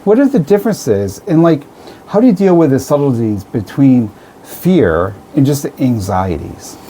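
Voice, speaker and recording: A man's voice: medium pitch, big pitch swings, normal speed, warm tone, a little hiss or hum, poor audio. A man in his fifties or older hosting a conversational radio interview, rephrasing his questions as he goes. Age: 50s or older. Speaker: radio show host interviewer.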